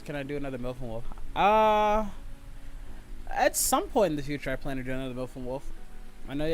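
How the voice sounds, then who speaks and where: This is a man hosting a casual livestream. He talks at a middle pitch in a blunt, teasing way, like someone bantering with friends. Faint music plays behind him as he speaks into his streaming mic. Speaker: livestream host. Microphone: streaming microphone.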